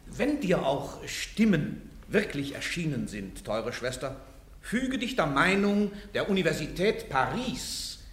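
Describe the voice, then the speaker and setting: An actor playing a cleric who pleads with a condemned woman to submit, shifting from soft to insistent. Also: fairly high pitch, very animated, slow, roomy sound. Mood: pleading. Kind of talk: radio play acting.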